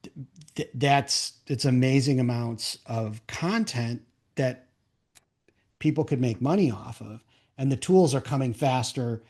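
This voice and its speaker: A man's voice: mid-range voice, unhurried, darkish tone. Man hosting a casual podcast, thinking aloud. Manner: thinks aloud in rambling, enthusiastic riffs, often checking with "right?". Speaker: podcast host and interviewer. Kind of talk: casual conversational interview.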